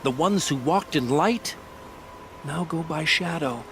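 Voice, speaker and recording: A man's voice: fairly high pitch, slow pace, background noise. A man playing a bitter, proud religious zealot in a video game, indignant and pompous in his speech. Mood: indignant, scornful. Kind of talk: video game dramatic dialogue.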